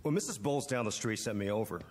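A man's voice: fairly low pitch, fast talker, big-room sound, close to an everyday voice. An actor playing a polite, hesitant young male student who mows lawns, in a TV drama. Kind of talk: 1980s TV drama acting.

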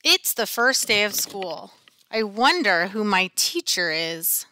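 A middle-aged woman teacher reading a picture book aloud in an expressive, dramatic, deliberate storytelling style, close on a headset mic. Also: mid-range voice. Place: small classroom, indoors.

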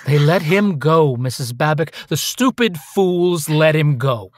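A hard, accusatory investigator, dry sound. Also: male voice, on the high side, expressive, a little slow, dry recording. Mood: grim recounting. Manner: pressing, accusatory, theatrical. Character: hard, menacing investigator grilling an immigrant wife. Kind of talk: radio play acting.

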